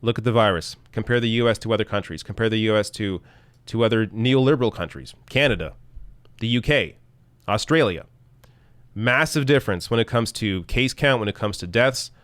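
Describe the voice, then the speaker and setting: Blunt male political commentator, low voice. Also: a little fast, fairly bright tone, no room sound. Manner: blunt, argumentative, reads comments and tweets aloud. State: losing his voice. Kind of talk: live-stream political commentary.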